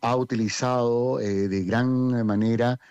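A man's voice: mid-range voice, steady tone, a little slow, a little mellow, smooth, no echo, muffled recording. A man with a Peruvian accent who directs community theatre, answering interview questions in a rambling way with frequent hesitations. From Peru.

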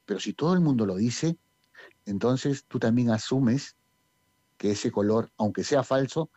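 A man's voice: mid-range voice, measured pace, mellow, no room sound, dull audio. A Peruvian man with a Peruvian Spanish accent who directs a community theatre group, talking in a radio interview and hesitating with many fillers. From Peru.

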